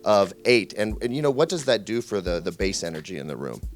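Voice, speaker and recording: A man working as a tech reviewer, doing a casual interview in a halting, conversational way with frequent ums. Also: fairly low pitch, sing-song.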